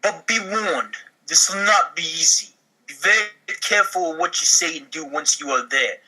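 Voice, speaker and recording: A man's voice: a little high, normal speed, pushed and bright, poor audio. A man acting a slick, pushy, sleazy mafia recruiter in an amateur comedy table read. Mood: pushy, warning.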